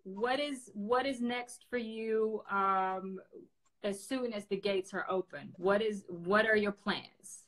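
A woman's voice: mid-range voice, slow, a crowd in the background, low-quality recording. Dutch lady hosting a livestream from her bedroom, chatty, laughs a lot, webcam sound. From Netherlands. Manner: chatty, laughs often, many fillers. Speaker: host. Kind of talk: casual livestream interview. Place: bedroom at home, indoors. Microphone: webcam on a video call.

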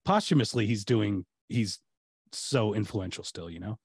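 A man's voice: fairly low pitch, lively intonation, normal speed, slightly rough, dry recording, clean studio sound. Chatty American man hosting a video-call podcast. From United States. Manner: rambling, many restarts and fillers. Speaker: podcast host. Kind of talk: casual interview chat. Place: home room, video call.

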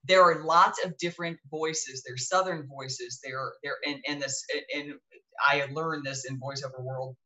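A woman hosting an interview show, with a deep, dark voice, talking in a chatty way full of restarts and self-corrections, seated on a couch at home in front of a webcam. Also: steady tone, moderate pace, rough recording. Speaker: show host. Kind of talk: casual interview talk. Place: living room, indoors.

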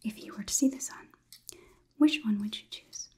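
A young woman playing a polite, curious, clinical robot overlord in a whispered ASMR roleplay, with measured, formal delivery. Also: fairly high pitch, darkish tone, natural voice. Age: young adult. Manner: slow, measured, deliberate, formal wording. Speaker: ASMR performer.

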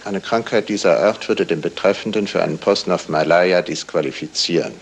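An actor playing a composed, probing male detective in a 1970s radio crime play, asking formal, measured, pointed questions in a gravelly voice. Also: low-pitched, slight background noise. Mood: matter-of-fact, pointed. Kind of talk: 1970s German radio crime drama acting.

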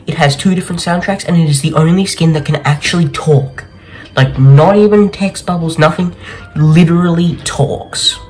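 A man's voice: on the high side, darkish tone. A young man hosting a gaming video with casual gameplay commentary, speaking into a desk mic in a bedroom. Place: small bedroom, indoors.

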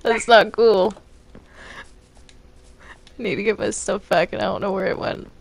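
A woman's voice: mid-range voice, fairly even intonation, unhurried, gravelly. A woman chatting casually in character during gameplay, exclamatory and prone to complaining. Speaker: gamer in roleplay video.

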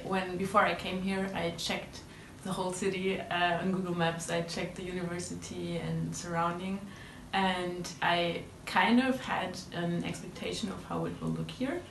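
A woman's voice: on the low side, steady tone, measured pace, roomy sound, a little hiss or hum, rough recording. A woman in her twenties from Germany, a student explaining her answers earnestly. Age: 20s. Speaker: exchange student. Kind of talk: casual interview answers. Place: indoors, room.

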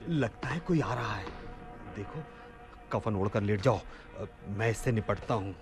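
An actor playing a wily, penniless husband in a radio play, exclaiming theatrically. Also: male voice, on the low side, animated, unhurried, over music. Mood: scheming. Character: wily, broke husband scheming with his wife.

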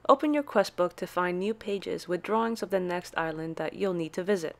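Lower-pitched woman narrating a game guide. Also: a little fast, gravelly. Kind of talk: scripted step-by-step tutorial narration.

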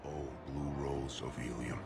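A hulking alien warrior poet for a video game, solemn and devoted. The voice is extremely deep and very slowly paced, reciting tender verse over clear background music. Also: male voice, little pitch movement, darkish tone. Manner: slow, solemn poetic delivery. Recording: music playing behind.

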